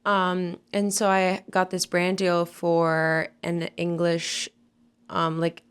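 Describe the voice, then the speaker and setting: A woman's voice: fairly low pitch, monotone, slow, smooth. A woman in her twenties, born in Moldova and raised in Ireland, chatting easily as a podcast guest, her talk full of "like" fillers. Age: 20s. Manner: chatty, easygoing, many "like" fillers. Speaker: guest, content creator. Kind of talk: casual podcast interview.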